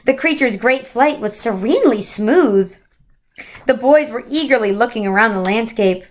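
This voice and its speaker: A woman's voice: fairly high pitch, animated, normal speed. A woman reading a children's book aloud, now and then stumbling over words and correcting herself. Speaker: story reader.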